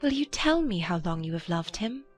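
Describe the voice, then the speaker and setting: A woman playing a gentle, earnest, affectionate young gentlewoman in a dramatized period audiobook. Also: on the low side, very animated, moderate pace, soft background music. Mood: earnest concern. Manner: earnest, tender.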